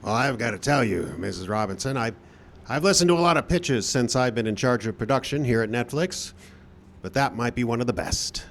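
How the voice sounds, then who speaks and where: An actor playing a businesslike, hedging studio executive in a live radio play. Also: male voice, medium pitch, lively intonation, brisk, a little hiss or hum, close to an everyday voice. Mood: impressed, businesslike. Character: smooth-talking studio production executive, impressed but cagey. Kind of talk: live old-time radio style acting.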